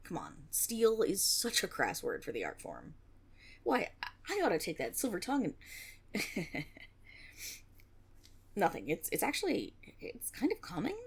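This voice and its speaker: A breathy, slightly husky young tiefling rogue acted by a woman in a fantasy ASMR roleplay. Her delivery swings widely in pitch as she rambles nervously, with stammers and a teasing streak, often dropping into a creaky edge. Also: wildly swooping pitch, measured pace, pauses often, full character voice. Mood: playful, warming. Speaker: audio roleplay performer. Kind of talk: intimate one-sided fantasy audio roleplay, ASMR.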